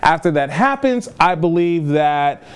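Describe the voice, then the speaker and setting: Higher-voiced man chatting casually about films. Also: big pitch swings, measured pace, clean tone. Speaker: podcast co-host reviewing films. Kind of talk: casual chat.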